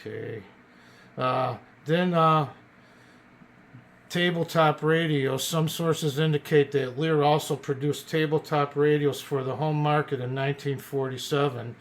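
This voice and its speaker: A man casually presenting an old radio as a collector, partly reading from notes in a halting way and stumbling over words. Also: on the high side, steady tone.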